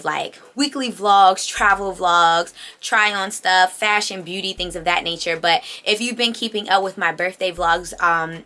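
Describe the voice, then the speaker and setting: A woman in her late twenties speaks in a bright, slightly low voice with a touch of congestion. She chats casually as if to her channel's followers, emphatic and full of slangy asides. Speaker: vlogger hosting own channel. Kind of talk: casual confessional chat to camera.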